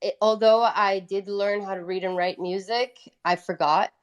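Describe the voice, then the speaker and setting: A woman's voice: medium pitch, fairly even intonation, moderate pace, clear, resonant tone, lo-fi sound. A woman from Italy, a native Italian speaker, chatting informally as a musician guest in an interview. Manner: informal, peppers talk with "like". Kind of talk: casual interview chat.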